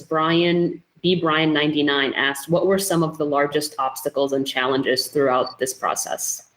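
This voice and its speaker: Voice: medium pitch, a bit bright, poor audio. A podcast host asking questions in a casual interview, often answering with short, encouraging replies. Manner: brief, encouraging back-channel replies.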